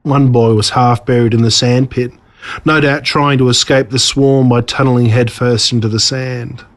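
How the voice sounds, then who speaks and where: An Australian man narrates a story in a mid-pitched voice. His reading is measured and scripted, with a storyteller's sense of drama. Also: fairly even intonation. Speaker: author reading own story.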